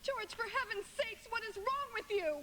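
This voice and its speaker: Very high-voiced, gentle housewife. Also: female voice, normal speed, background noise. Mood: worried. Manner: soothing, solicitous. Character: gentle, concerned small-town wife and mother. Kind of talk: live radio play acting. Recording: music playing behind the voice.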